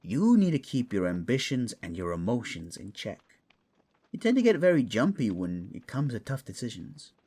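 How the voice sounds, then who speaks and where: Man acting an animated, wisecracking raccoon mentor. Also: medium pitch, moderate pace, slightly rough. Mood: earnest warning. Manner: casual, teasing, cajoling. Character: wisecracking, fussy, caring male raccoon mentor. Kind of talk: fantasy audio roleplay acting.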